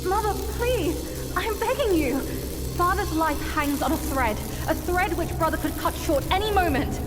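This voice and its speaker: Very high-voiced, earnest young daughter. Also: female voice, expressive, normal speed, over loud music. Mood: pleading. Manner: pleading, emotional, formal. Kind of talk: dramatic video game cutscene acting.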